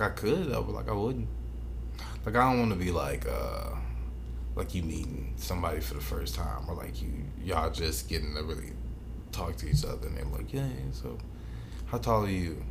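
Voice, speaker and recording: A man's voice: low voice, expressive, moderate pace, gravelly. A man co-hosting a podcast in casual chat, speaking in an informal, slangy, opinionated way. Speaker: podcast co-host. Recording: noticeable background noise.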